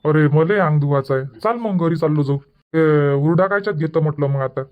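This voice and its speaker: A man's voice: on the high side, fairly quick, close to an everyday voice. A man voicing a grumbling, lecturing old village husband in a cartoon comedy, with a Varhadi Marathi accent. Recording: dull lo-fi audio.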